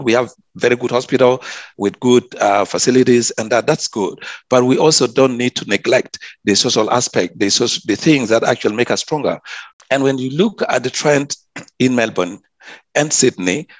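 A man's voice: medium pitch, dry recording. A man, a public health officer answering interview questions earnestly, often restarting and repeating words. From South Sudan.

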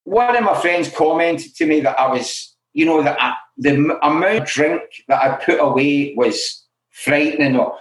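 A middle-aged Glaswegian man speaks candidly and with self-deprecating humour. His voice sits a little higher than most men's. He is recorded in an echoey room that gives the voice a roomy ring. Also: moderate pace. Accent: Glaswegian Scottish. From Scotland. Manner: frank and self-deprecating, full of "you know" asides. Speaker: interview guest in alcohol recovery. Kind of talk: candid conversational storytelling.

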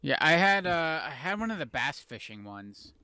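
A man's voice: a little high, very animated, normal speed, pushed and bright, smooth, no echo, clean studio sound. A man in easygoing casual chat, talking things through with lots of fillers. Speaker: podcast co-host.

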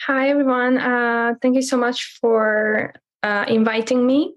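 A young woman from Hungary, speaking English hesitantly with many pauses as a student guest at a roundtable. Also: a little high, level tone, dull audio. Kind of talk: roundtable introduction.

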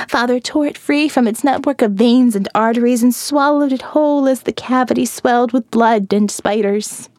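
A woman narrating a first-person horror audiobook, slow and hushed, often dropping to a whisper. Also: a little high, dry recording, clean studio sound.

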